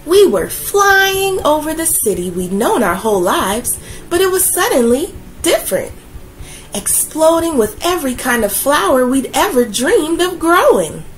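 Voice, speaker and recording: A woman reads a children's picture book aloud, slowly and soothingly. Her fairly high voice rises and falls a lot as she brings the story to life. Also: faint music behind, rough recording. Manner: gentle, expressive, slow and soothing.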